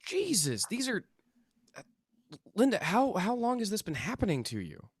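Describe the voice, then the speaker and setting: Someone reading earnest young investigator guy, super animated, placating, dry close sound. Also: medium pitch, normal speed, breathy edge, no echo. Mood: stunned, concerned. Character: earnest young male amateur paranormal investigator, persuasive and placating. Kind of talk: script table read.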